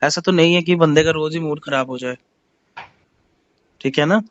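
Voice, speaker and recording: A man's voice: on the high side, normal speed. A man in a serious phone conversation, asking questions politely but pressing for answers. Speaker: concerned caller asking questions. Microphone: phone call recording. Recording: dull lo-fi recorded phone call.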